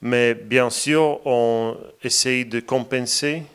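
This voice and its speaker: A man from Latvia, a native Latvian speaker with a Latvian accent, giving a radio interview as a guest in slow, halting speech, pausing often to search for words. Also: medium pitch, fairly even intonation, a bit bright, clear, resonant tone. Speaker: interview guest, parliament president.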